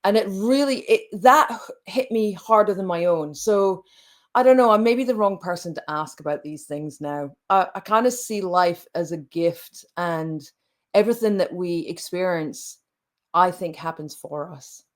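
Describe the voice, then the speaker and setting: A woman in her late forties from Scotland, a coach speaking reflectively as an interview guest, with frequent restarts. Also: on the low side. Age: late 40s. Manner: reflective, halting, many restarts. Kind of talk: conversational interview.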